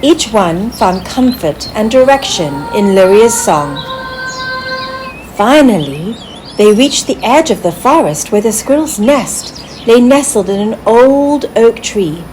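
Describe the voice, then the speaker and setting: A woman reading a children's story aloud in an expressive, deliberate storytelling manner. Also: on the high side, background noise. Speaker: author reading own story. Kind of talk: scripted children's storybook narration.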